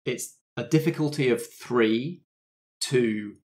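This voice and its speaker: A man's voice: medium pitch, slow, a little mellow. A man acting as game master, narrating a tabletop roleplaying game and talking things through with the players.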